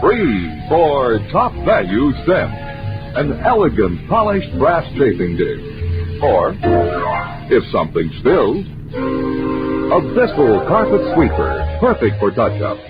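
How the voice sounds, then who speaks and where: Male voice-over for vintage commercial, lively smooth read, jingle music under dull audio. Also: medium pitch, slow pace. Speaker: commercial announcer. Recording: dull old ad recording with music.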